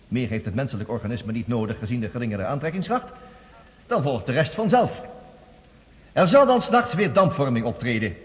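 An actor playing a confident, visionary scientist, giving a formal, eloquent declamatory address in a radio drama. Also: male voice, fairly high pitch. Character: visionary, confident male scientist presenting a bold Mars plan. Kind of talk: Dutch radio drama acting, formal speech. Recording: long reverberant hall.

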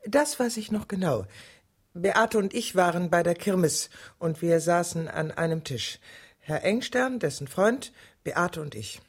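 An actress playing a bitter, jealous middle-aged woman with secret murderous spite in a radio drama, speaking in a low, gravelly, often whispery voice with clipped, venomous control. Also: animated, a little slow, dry recording, clean studio sound. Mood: guarded, controlled. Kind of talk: intimate radio drama monologue and dialogue.

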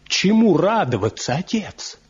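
A man's voice: sits high, very animated, unhurried, a little hiss or hum. An actor playing a proud, scornful younger village craftsman in a radio drama, insistent and sardonic. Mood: agitated, stern. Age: young adult. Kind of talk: Soviet radio drama acting. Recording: dull 1970 radio play recording.

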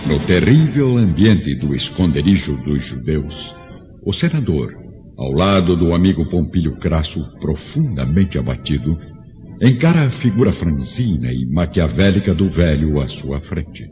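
A man's voice: very deep voice, very animated, unhurried, mellow, full character voice. A man narrating a radio drama as a solemn storyteller, with dramatic scripted delivery. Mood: suspenseful. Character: solemn storyteller of an ancient epic. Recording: dull lo-fi radio drama, music behind.

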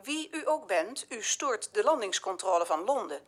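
A woman acting in a radio play as a brisk, stern landing controller using clipped official phrasing. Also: medium pitch, sing-song. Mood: puzzled, stern.

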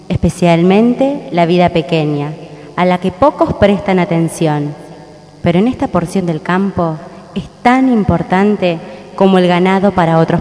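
Female narrator, dark mellow low voice, reverberant, scripted radio drama.

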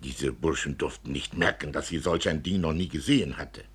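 A man reading a literary story as narrator, expressively, with dramatic and deliberate phrasing. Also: a bass voice, breathy edge. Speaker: narrator, reader.

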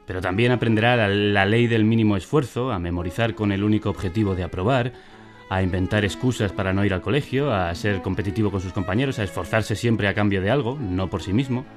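A man's voice: on the low side, close to an everyday voice. An actor in a satirical radio drama playing an anxious, stubborn, idealistic father, emphatic and repetitive, with a Castilian Spanish accent.

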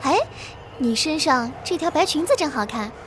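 A woman's voice: high-pitched, lively intonation, normal speed, background noise. An actress playing an uneasy, inquisitive young female passenger in an audio horror drama. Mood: puzzled, curious. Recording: music behind the voice.

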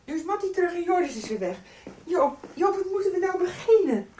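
A woman's voice: very high-pitched, sing-song, brisk, a little mellow, slight background noise. An actress in a Dutch radio soap playing a distraught, exasperated mother whose son has run away. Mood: distressed. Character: distraught mother of a runaway son, impatient with husband.

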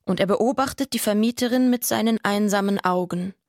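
Female narrator for radio drama: scripted storytelling, medium pitch, close dry studio sound. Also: fairly quick, a little mellow, no echo.

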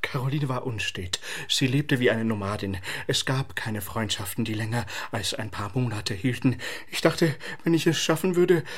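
A man's voice: mid-range voice, animated, normal speed, lightly acted. An actor playing an anxious, ageing father in a radio play, stammering and repeating himself as he talks. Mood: resigned, confessing. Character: flustered, anxious ageing father hiding guilt.

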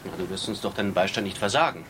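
A man's voice: low voice, rapid-fire, fairly bright tone, slight background noise. A man acting in a German radio drama as a determined, suspicious amateur detective, resolute and dry in manner. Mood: determined, scheming.

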